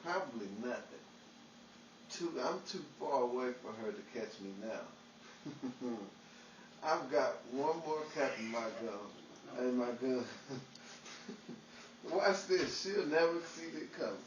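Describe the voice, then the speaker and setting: A man's voice: mid-range voice, expressive, takes it slowly, pauses often, echoey room, recorded from a distance, background noise. A man playing a bumbling, boastful, childish husband in an old-time radio comedy. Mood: muttering, cocky.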